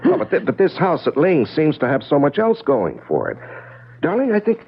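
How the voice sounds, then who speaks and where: An actor playing a troubled, impatient yet affectionate husband in a radio drama. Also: male voice, fairly high pitch, wildly swooping pitch, normal speed. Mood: scoffing, enthusiastic. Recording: dull lo-fi radio drama recording.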